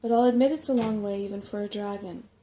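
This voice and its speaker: A woman's voice: medium pitch, steady tone, fast talker, mellow, slight background noise, close to an everyday voice. A woman voicing a fussy, chatty, know-it-all old male rat in a storybook reading, with emphatic delivery. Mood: chatty, confident.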